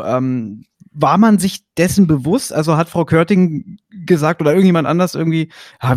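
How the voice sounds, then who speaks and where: A man's voice: fairly high pitch, darkish tone, dry recording, studio quality. A man hosting a podcast, asking long, winding questions like an eager fan. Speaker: podcast host. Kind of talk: casual interview questions.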